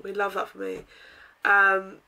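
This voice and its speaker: Croaky-voiced young woman vlogging at her desk. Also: mid-range voice, completely flat delivery, measured pace, bright, forceful tone, poor audio. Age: young adult. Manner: chatty, rambling, frequent ums and throat clearing. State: croaky voice from a sore throat. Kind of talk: casual day-in-my-life vlog. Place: bedroom, indoors.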